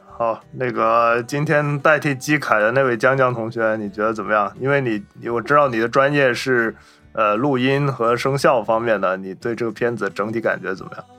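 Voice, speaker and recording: Mid-pitched male podcast host over music. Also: fairly even intonation, normal speed, bright, forceful tone. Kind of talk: casual film discussion.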